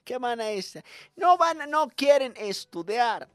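A young man performing a weary, scolding schoolteacher with a Bolivian accent in a comic radio monologue, halting and repeating himself in exasperation. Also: extremely high pitch, expressive, no echo. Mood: resigned lecturing. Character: weary, scolding schoolteacher given to rambling lectures.

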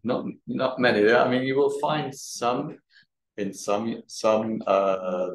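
A man giving an academic talk and answering questions, speaking haltingly and often repeating words. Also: mid-range voice. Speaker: guest lecturer. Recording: roomy, echoey sound.

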